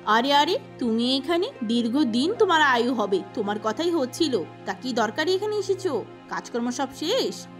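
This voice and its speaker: Cartoon eldest sister: young female, high voice, haughty, greedy, scornful, acted by a woman. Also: sing-song, moderate pace, faint music behind. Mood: mocking. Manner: scornful, bossy.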